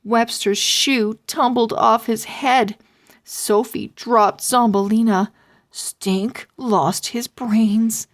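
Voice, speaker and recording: A woman reading a children's story aloud as narrator, expressive and full of suspense. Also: a little high, very slow. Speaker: storybook reader.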